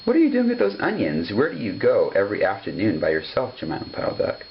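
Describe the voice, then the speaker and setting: Man voicing a stern, suspicious collie, swooping pitch. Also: huge pitch swings, fast talker, highs cut off, slight background noise. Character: wise, suspicious male collie farm dog. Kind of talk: storybook character voice.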